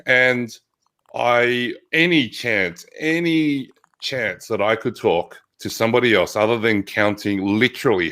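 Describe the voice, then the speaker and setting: A man with an Australian accent, a physical therapist telling stories as an interview guest, loud and reflective, often restarting his sentences. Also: fairly low pitch. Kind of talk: conversational interview.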